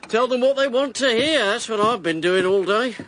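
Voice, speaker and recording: An actor playing a brash, cynical war hero turned election candidate in a radio drama, British, with a blunt, punchy delivery. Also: male voice, very high voice, moderate pace, fairly bright tone, slight background noise.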